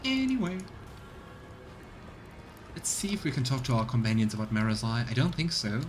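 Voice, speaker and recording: Male let's play streamer, casual and musing, mid-pitched, with some game ambience and noise behind. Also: huge pitch swings, normal speed. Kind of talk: casual live game commentary, sometimes reading game text aloud.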